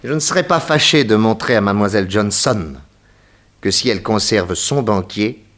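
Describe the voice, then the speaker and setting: Swooping, proud detective hero. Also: male voice, lowish voice, expressive, moderate pace, a bit bright. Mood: confident, wry. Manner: theatrical, wry. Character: proud, piqued detective hero, wry and confident. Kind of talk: early 1960s French radio serial acting.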